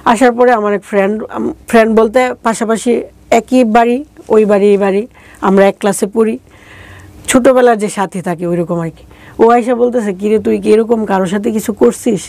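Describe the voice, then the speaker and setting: Mellow Bangladeshi woman recounting her life. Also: mid-range voice, steady tone, normal speed, no room sound. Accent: regional Bangladeshi. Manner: colloquial, acting out remembered dialogue. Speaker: interview guest. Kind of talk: conversational personal life-story telling.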